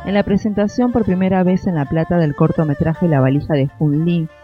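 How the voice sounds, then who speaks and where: Steady male radio announcer. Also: fairly high pitch, fairly quick. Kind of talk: scripted news narration. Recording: muffled, with faint music.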